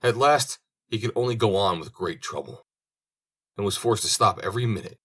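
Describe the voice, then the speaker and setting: A man reading a storybook as an audiobook narrator, with expressive delivery. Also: lowish voice, normal speed, husky. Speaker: audiobook reader.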